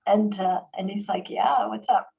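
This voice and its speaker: A woman host in a relaxed conversation, telling stories animatedly with quick fillers and restarts. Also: mid-range voice, gravelly, low-quality recording. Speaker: organization president and host. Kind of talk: conversational interview.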